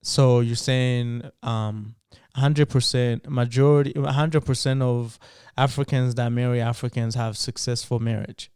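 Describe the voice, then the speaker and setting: Burundian man, smooth resonant voice, probing and questioning, dry close mic. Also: medium pitch, steady tone, unhurried, darkish tone, dry recording. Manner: probing, questioning, laughs easily. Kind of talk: casual podcast debate.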